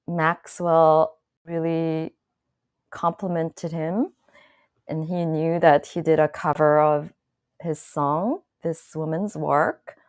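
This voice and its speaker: A woman hosting her own channel, chatting casually to viewers in a slow, thoughtful way with many fillers and restarts. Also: deep voice, no room sound, clean studio sound. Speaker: channel host. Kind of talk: casual live-stream talk to viewers.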